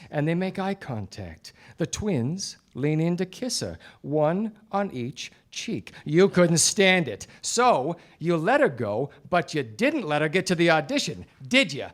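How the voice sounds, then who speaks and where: A man's voice: on the high side, fairly bright tone. A man playing a confident, accusing 1940s detective in a theatrical, slangy radio play style. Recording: live radio play before studio audience.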